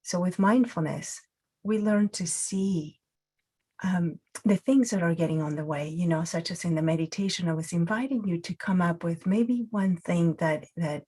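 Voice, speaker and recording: A woman's voice: lowish voice, warm tone. Reflective woman teaching mindfulness. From Peru. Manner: reflective, many fillers and restarts. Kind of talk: guided mindfulness talk.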